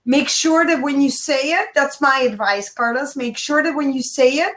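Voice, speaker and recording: A woman's voice: high voice, normal speed, a bit bright, reverberant. A woman, a guest on an interview show, giving emphatic, coaching advice and addressing listeners directly. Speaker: guest, kindness speaker.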